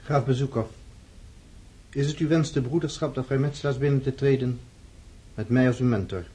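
An actor playing a stern, ceremonious young count and freemason sponsor in a radio drama, solemn and formal. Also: male voice, mid-range voice, moderate pace, warm tone, breathy edge, lightly acted.

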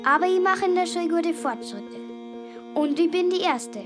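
Radio play role: earnest working child, high voice, music underneath. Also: female voice, moderate pace. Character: hard-working Bavarian village girl, earnest and dutiful child.

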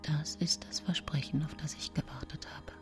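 An actress playing a scheming, self-pitying nineteenth-century murderess who tells her own case in a hushed, brooding radio play monologue. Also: low voice, fairly even intonation, normal speed, lightly acted. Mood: calculating, secretive. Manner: hushed, brooding, often whispering. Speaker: radio drama actress narrating. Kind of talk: intimate first-person radio play monologue. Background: music.

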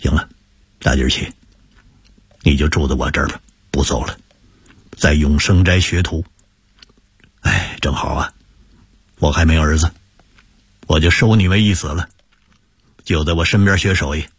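Male narrator as kindly shopkeeper; deep, husky, hushed and coaxing; dry close sound. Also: lively intonation, normal speed, long pauses, no echo, heavily acted. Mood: soothing. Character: kindly middle-aged male shop owner, soothing.

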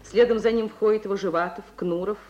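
A woman's voice: medium pitch, steady tone, fairly quick, muffled recording. A woman reading scripted stage directions as the narrator of an old radio drama. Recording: old radio recording with faint hiss.

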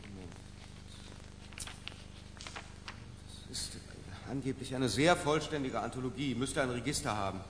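An actor in a radio play playing an obsessive, pedantic middle-aged academic giving a halting, fussy, emphatic lecture. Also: male voice, medium pitch, lightly acted. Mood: flustered, muttering. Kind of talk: theatrical radio play monologue. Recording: reverberant lecture hall, faint noise.

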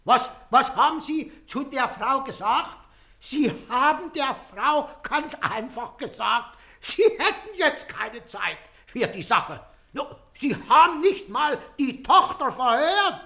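A man's voice: very high voice, animated, slow, pushed and bright, exaggerated voice. An actor in a German radio play playing an indignant old man, querulous and stammering as he repeats himself. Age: older. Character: excitable, indignant old man demanding his rights.

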